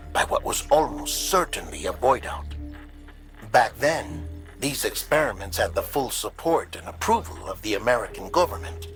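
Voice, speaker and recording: A man playing an earnest, secretive colleague who gives a measured, confiding briefing over comms in a cinematic video game. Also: medium pitch, close to an everyday voice. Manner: measured, confiding, hesitant explanatory delivery.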